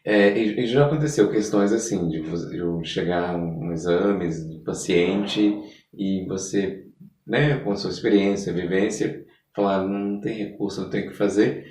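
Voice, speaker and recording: A Brazilian podcast host with a very low-pitched voice interviews in a casual, chatty way. The room around the voice is clearly echoey. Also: moderate pace, darkish tone.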